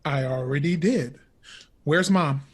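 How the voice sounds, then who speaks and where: A woman's voice: low voice, slow, husky, exaggerated voice. A woman playing a resentful, dutiful older sibling in an audio drama, sarcastic and defensive. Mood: irritated.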